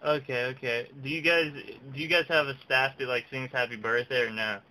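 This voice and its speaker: A man's voice: mid-range voice, steady tone, fairly quick, pushed and bright, rough recording. A young man making casual prank calls in a slangy, mock-earnest way, recorded on a webcam in a small room. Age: young adult. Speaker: prank caller. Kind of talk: casual prank phone chat.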